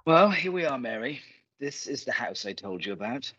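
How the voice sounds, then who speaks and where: A man playing a polite, uneasy and protective gentleman in a radio play, formal and slightly hesitant, on a dull recording. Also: medium pitch, very animated, normal speed, slight background noise. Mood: concerned, uneasy.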